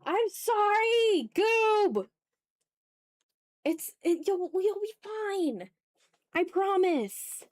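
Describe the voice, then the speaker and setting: A woman's voice: extremely high pitch, big pitch swings, very slow, pauses often, no echo. A woman streamer talking casually and informally to her chat while she draws, in a rambling, off-the-cuff way. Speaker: art streamer and ASMR YouTuber hosting a livestream.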